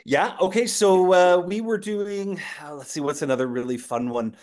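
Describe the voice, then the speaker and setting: A man guest on a podcast, chatting casually as a team-building trainer and telling animated stories with lots of fillers and restarts. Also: high-pitched, moderate pace.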